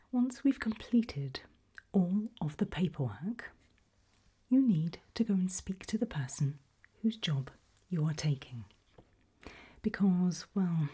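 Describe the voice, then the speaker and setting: A woman in an ASMR roleplay voicing a polite, quietly menacing HR interviewer in a breathy, deliberate whisper. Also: fairly low pitch, heavily acted. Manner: slow, deliberate, softly spoken.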